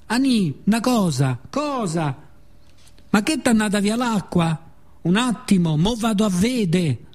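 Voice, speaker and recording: A man performing Roman neighbour women who call to each other in homely dialect, with a Roman accent and drawn-out delivery, in a theatrical radio monologue. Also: high voice, animated, a little mellow, voice pushed up. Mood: loud, gossipy.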